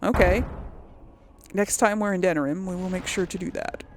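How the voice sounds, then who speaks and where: A woman's voice: lowish voice, normal speed, background noise. A woman hosting a gaming video with casual let's play commentary, chatting and musing aloud.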